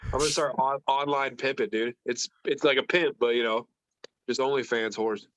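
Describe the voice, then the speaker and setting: A man's voice: mid-range voice, steady tone, normal speed, fairly bright tone, no echo, dull audio. A man with a stuffed-up, congested nose from allergies, co-hosting a casual podcast with blunt, joking hot takes. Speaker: podcast co-host. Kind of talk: casual banter.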